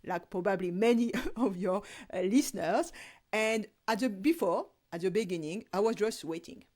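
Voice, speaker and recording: Woman, interview guest, measured, reflective, mid-pitched. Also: a little mellow. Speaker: guest, co-author. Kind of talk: casual interview talk.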